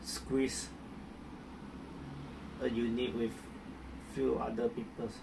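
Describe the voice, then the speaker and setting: A man's voice: mid-range voice, flat delivery, slow pace, vocal fry, far from the mic, background noise. A young man from Malaysia with a Malaysian accent, answering interview questions in a hesitant way with many restarts and fillers.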